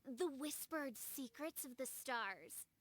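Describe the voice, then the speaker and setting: A woman's voice: sits high, lively intonation, slow pace, fairly bright tone, no room sound, exaggerated voice. A woman playing a theatrical, gloomy young princess who speaks in florid, pompous, archaic phrases in a video game. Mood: haughty.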